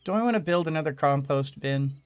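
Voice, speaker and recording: A woman giving casual video game commentary, halting as she thinks aloud. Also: low voice, lively intonation, smooth, dull audio. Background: game music.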